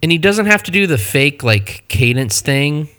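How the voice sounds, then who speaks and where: Bright-voiced Midwestern man co-hosting. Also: fairly high pitch, sing-song, smooth. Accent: Midwestern American. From Ohio, USA. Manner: upbeat, jokey, quick asides. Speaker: podcast co-host. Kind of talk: casual podcast chat.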